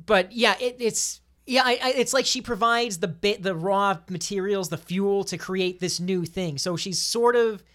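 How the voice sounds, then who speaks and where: A woman's voice: medium pitch, measured pace, hardly any pauses, fairly bright tone. Female podcast panelist, mid-range voice, chatting casually about movies with firm opinions and emphasis. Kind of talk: casual film discussion.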